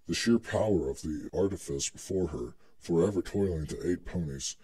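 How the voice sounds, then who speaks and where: A man's voice: low voice, darkish tone, slightly rough. A man reading scripted story narration as the narrator of a dramatic reading.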